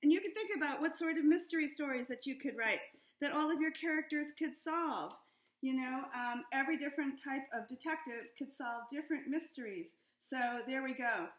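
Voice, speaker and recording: A woman's voice: sits high, fairly bright tone. A middle-aged woman, a children's book author, giving a friendly, encouraging step-by-step drawing lesson for kids from her home. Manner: upbeat, encouraging, step-by-step explaining. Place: home room with high ceiling. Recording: echoey room.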